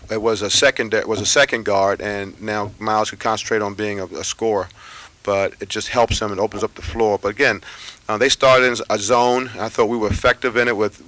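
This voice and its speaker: A middle-aged man, a basketball head coach, answering reporters after a game in measured, unhurried talk full of fillers and pauses. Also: lowish voice, fairly bright tone, dry recording. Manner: measured, thinking aloud with many fillers. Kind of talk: postgame press conference answers. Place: press room with sponsor backdrop. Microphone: table microphones at a press conference.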